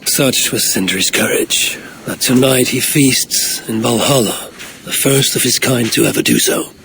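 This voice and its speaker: An actor playing a wry, proud and eloquent Norse god in a dramatic video game cutscene, with theatrical self-assurance. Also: male voice, medium pitch, measured pace, vocal fry, noisy background. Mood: solemn.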